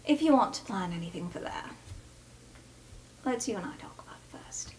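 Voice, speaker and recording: Woman playing a guarded young woman, lively pitch. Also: moderate pace, whispered, lightly acted, low-quality recording. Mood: guarded, wary. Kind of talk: improvised tabletop roleplay.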